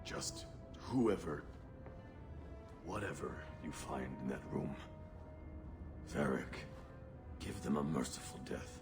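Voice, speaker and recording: A man playing a frightened, haunted dwarf servant in a video game, speaking gravely and pleadingly. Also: very deep voice, animated, slow, pauses often, music in the background, full character voice. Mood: fearful, pleading. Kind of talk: video game dramatic acting.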